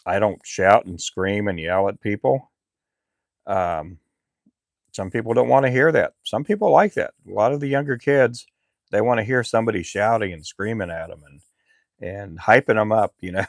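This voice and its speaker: A middle-aged man who makes fishing lures, chatting casually on his live stream in a relaxed, rambling way with lots of fillers. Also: on the low side, no room sound, studio quality. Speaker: lure maker live streamer.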